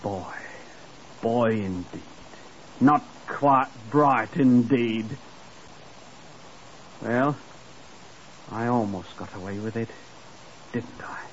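An actor playing a bitter, sneering young murderer who was dismissed as dim, in a mocking and defiant 1950s radio crime drama style. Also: male voice, mid-range voice, extremely slow, long pauses. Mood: bitter, confessing. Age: 20s. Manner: mocking, defiant, breaking into chuckles. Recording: muffled, noisy 1950s radio recording.

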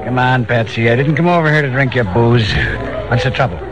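A hot-tempered male gangster played by a radio actor from a 1940s crime drama. He talks tough, in clipped slang, at a middling pitch. Music runs behind him on the old broadcast. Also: brisk, natural voice. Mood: suspicious, impatient.

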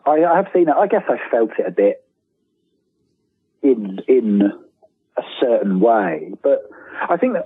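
A man gives casual interview answers over a thin, roomy phone line. His voice is husky and on the low side. He often restarts and rephrases as he works through a thought. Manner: halting, rephrases and repeats words as he thinks aloud. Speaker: guest author and lifelong fan. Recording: tinny, roomy telephone-like line.

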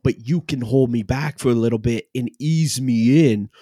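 A man's voice: medium pitch, moderate pace, dark tone, dry recording, clean studio sound, lightly acted. A man playing a brash, boastful would-be TV bartender. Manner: boastful, rambling, mock-modest. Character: brash, self-important male bartender chasing reality-TV fame. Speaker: guest. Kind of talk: improvised comedy character interview.

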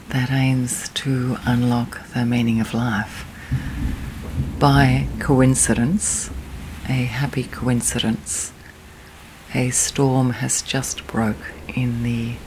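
Very slow, creaky, soft-voiced woman. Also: very deep voice, rough recording. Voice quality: soft, breathy, often near whisper. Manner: slow, soothing, long pauses between phrases. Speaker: guided meditation host. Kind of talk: guided sleep meditation. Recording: steady storm and rain noise. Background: rain and storm.

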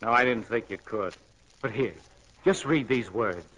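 A man playing a pushy, flattering song plugger, slangy and effusive, in 1940s radio acting style. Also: medium pitch, lightly acted, poor audio. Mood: pleading, hyping. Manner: fast-talking hustler, slangy and effusive.

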